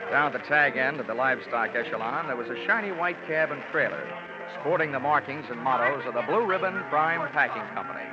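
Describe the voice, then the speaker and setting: A man's voice: mid-range voice, animated, over loud music, close to an everyday voice. An actor playing a wisecracking male insurance investigator, narrating and quipping in 1940s radio drama style. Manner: dry sardonic quips, brisk narration. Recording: dull lo-fi old radio broadcast.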